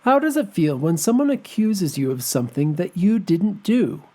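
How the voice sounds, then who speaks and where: A man narrating a children's folktale and asking discussion questions, reading gently and expressively. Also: sits high, sing-song, normal speed. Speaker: storyteller. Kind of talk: scripted children's story narration. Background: music.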